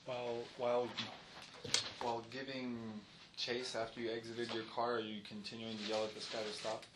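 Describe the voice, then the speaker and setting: A man's voice: mid-range voice, completely flat delivery, moderate pace. A man asking careful, probing questions in a formal interview. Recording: noisy room.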